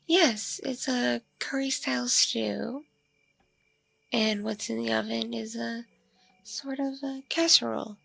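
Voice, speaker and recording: A woman acting as a mature vampire hostess in an ASMR roleplay, with heavy vocal fry running through a fairly high voice. She is soft-spoken and flirtatious, drifting along slowly with absent-minded murmurs and hesitant pauses. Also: steady tone, dry recording, faint music behind, heavily acted. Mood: friendly, chatty. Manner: hesitant, murmuring, lingering pauses with ums and mms. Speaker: roleplay voice performer. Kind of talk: ASMR roleplay, one-sided intimate conversation.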